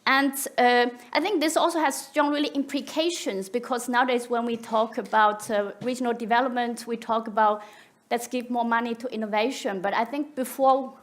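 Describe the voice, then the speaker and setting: A woman's voice: a little high, steady tone, moderate pace. A woman who is not a native English speaker, giving a conference talk with hesitant, searching phrasing.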